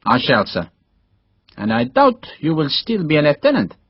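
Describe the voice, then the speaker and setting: A man's voice: a little high, huge pitch swings, normal speed, gravelly, slightly rough, no echo, highs cut off, voice pushed up, full character voice. A man voicing a tired, dutiful English rifleman lieutenant, clipped and deferential, in an audiobook. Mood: respectful, dry. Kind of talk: audiobook character dialogue.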